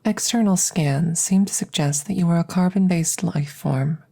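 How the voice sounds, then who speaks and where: A woman playing a calm, curious alien scientist in an ASMR roleplay, speaking softly and close up in measured, formal, clinical phrases. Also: fairly low pitch. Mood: measured, explanatory. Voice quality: soft, breathy close whispering. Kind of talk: whispered ASMR audio roleplay. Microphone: close-up microphone.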